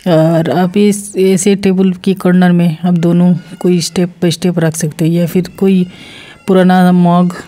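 Low, flat-voiced woman chatting. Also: takes it slowly. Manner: chatty, rambling, with frequent restarts and English mixed in. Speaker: vlogger reviewing a product. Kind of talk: casual product review vlog. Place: small room at home, indoors.

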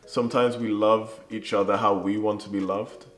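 A man's voice: lowish voice, flat delivery, moderate pace. A young man co-hosting a vlog, giving casual relationship advice to camera. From Birmingham, England. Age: young adult. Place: living room, on a sofa. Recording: echoey room. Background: music.

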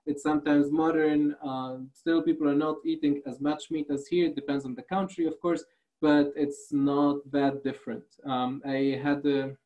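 A man's voice: a little high, flat delivery, moderate pace, mellow, poor audio. A man in his thirties, not a native English speaker, giving an online workshop talk over slides with many fillers and restarts, on a webcam in a small room.

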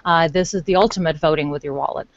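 A woman's voice: low voice, quick pace, slight background noise. A woman hosting a casual podcast, rambling with many fillers and restarts over a video call. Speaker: podcast host. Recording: dull, dry lo-fi call audio.

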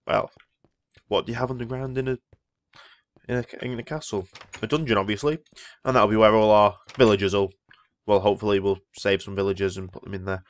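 A man's voice: mid-range voice, no room sound. Ill man rambling over a game. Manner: rambling, easily impressed. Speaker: Minecraft let's player. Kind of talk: casual gameplay commentary.

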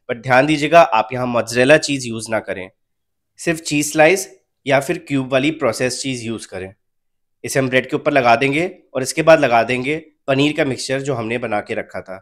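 A young man calmly narrating a home cooking recipe step by step as the channel's host. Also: medium pitch. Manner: calm, step-by-step instructional.

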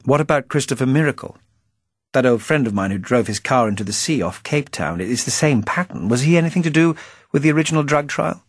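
A man's voice: medium pitch, animated, fast, breathy edge, dry recording, pitched above the performer's own voice. A man voicing a debonair older secret agent in a spy story, weary and testy, with a dry, arch, probing manner. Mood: weary, suspicious.

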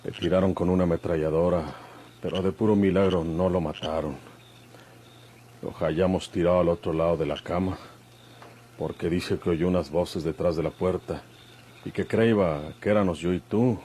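A man's voice: low-pitched, steady tone, normal speed, dark tone, a little hiss or hum, close to an everyday voice. An actor playing a rugged, brooding outlaw father with a rural Mexican accent and rustic speech, in an old radio drama. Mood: grim, bitter. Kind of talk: Mexican golden-age radionovela acting.

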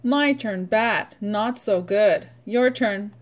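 A middle-aged woman, a preschool teacher, leading a read-aloud vocabulary lesson in an instructional way, pausing for children to repeat. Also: medium pitch. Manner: instructional, prompting with pauses for repetition.

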